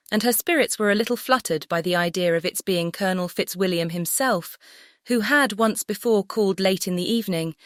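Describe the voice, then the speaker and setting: A woman's voice: medium pitch, a bit bright, dry recording, clean studio sound. A woman narrating a classic novel as an audiobook, composed and carefully phrased. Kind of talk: scripted literary narration.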